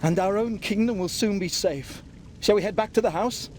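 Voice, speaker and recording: An actor playing a timid, scholarly wizard in a video game, with theatrical, anxious delivery. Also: male voice, high-pitched, normal speed, a little hiss or hum, natural voice. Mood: reluctant. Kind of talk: video game character acting. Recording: game music behind.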